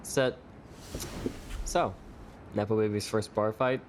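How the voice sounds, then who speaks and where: A man's voice: lowish voice, steady tone, slow, slight background noise. An actor playing a sassy, hot-tempered young male bar performer in an audio drama, sarcastic, flippant and sweary. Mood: teasing banter.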